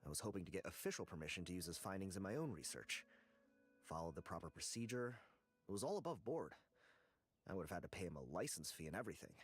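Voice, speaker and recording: A disgruntled video game researcher with a low, lively voice. He is jealous, curt and defensive, grumbling indignantly over faint music. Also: fast, breathy edge. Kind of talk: video game character dialogue.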